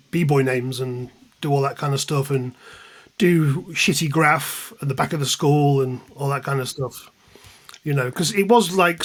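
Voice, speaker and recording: A man in his fifties with an English accent, chatting anecdotally and with self-deprecation as an interview guest. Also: a little high. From England, East Midlands. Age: 50s. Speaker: guest, music journalist and author. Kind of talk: casual reminiscing in an interview.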